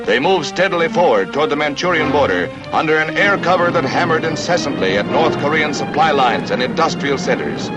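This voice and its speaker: An American man delivers a vintage 1950 newsreel narration over prominent music. His voice sits fairly high and moves with very animated intonation. The reading is scripted, grave and declamatory, with a strong sense of drama. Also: poor audio.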